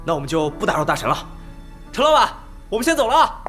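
A man's voice: sits high, big pitch swings, fast, a bit bright, faint music behind. An actor playing a nervous, eager young male gamer fan in a Chinese audio drama. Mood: polite.

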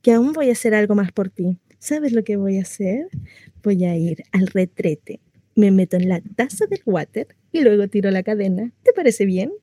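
A woman's voice: medium pitch, expressive, normal speed, dark tone, no room sound, close to an everyday voice. A woman acting a chatty, naive, mistreated housewife in a comic stage monologue, confessional, rambling and often interrupting herself. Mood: sarcastic, irritated. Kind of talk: theatrical comic monologue addressed to a listener.

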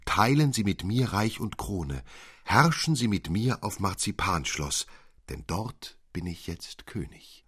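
Gallant gentleman storyteller, very low pitch, husky tone, courteous, formal, literary audio drama. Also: big pitch swings, exaggerated voice. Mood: courtly, earnest. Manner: formal, ornate, measured phrasing.

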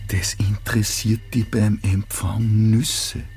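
A gruff, impatient Viennese man with a lowish voice, nervously set on a risky plan. He talks in curt, colloquial grumbles in radio drama style, with faint music behind him. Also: little pitch movement, slow pace, darkish tone. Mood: grudging. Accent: Viennese Austrian. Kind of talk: naturalistic radio drama acting.

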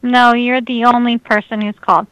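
A woman's voice: a little high, flat delivery, normal speed, fairly bright tone, dry recording, lo-fi sound. A woman answering calls for a tow company, polite and patient.

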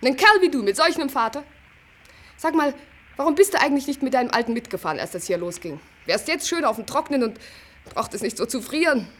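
A woman's voice: sits high, expressive, fast talker. An actress playing an irritable, stubborn, mocking girl in a German radio play, curt and sullen in delivery. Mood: irritable, taunting.

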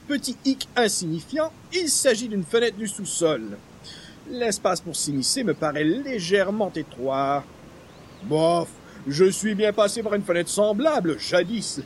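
A man's voice: high voice, slight background noise. An actor playing a pompous, hapless male columnist with florid, formal wording in a radio comedy. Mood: puzzled, self-assured. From Quebec. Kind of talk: 1940s-style radio comedy acting.

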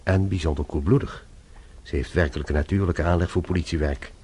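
A courteous investigator with a low, rough-textured voice. He speaks formally and deliberately, in measured radio drama style. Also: steady tone, a little mellow. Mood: measured, appreciative. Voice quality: husky.

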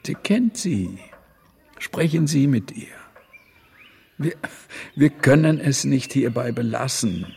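An actor in a radio play playing a sorrowful, helpless old man who speaks haltingly and wearily, repeating himself. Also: medium pitch, lively intonation, mellow, heavily acted. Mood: sad, pleading. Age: older. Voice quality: creaky.

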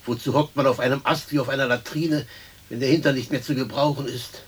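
An actor as a husky, grumbling common man. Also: medium pitch, fairly even intonation, brisk, lightly acted. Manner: coarse, grumbling, plain-spoken. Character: hungry, grumbling, earthy common man stuck on a branch. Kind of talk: German radio play acting.

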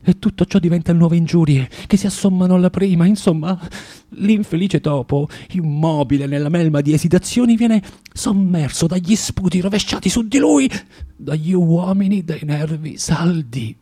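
An actor playing a bitter, self-loathing recluse in a radio drama monologue, theatrical and halting, feverishly repeating himself in a husky voice. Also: male voice, fairly high pitch, mellow, no echo, clean studio sound. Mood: scornful, brooding. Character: bitter, self-loathing, rambling male recluse from Saint Petersburg.

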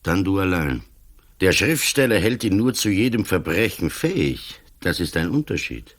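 An older actor with a Swiss German accent playing a calm, stubborn, ailing police commissioner in a radio play, speaking cold and firm. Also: male voice, deep voice, normal speed. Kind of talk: 1980s radio play acting.

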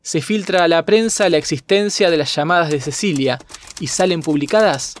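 A man's voice: on the high side, moderate pace, slight background noise. A man narrates a documentary. He reads from a script, delivering reports as a narrator. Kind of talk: scripted narration, reading press reports aloud.

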